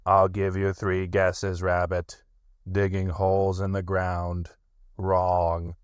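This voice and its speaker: A man's voice: low-pitched, clear, resonant tone, no echo, pitched below the performer's own voice. A man doing a gloomy sarcastic donkey. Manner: slow, flat, sardonic drawl. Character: gloomy old male donkey, dry and sarcastic.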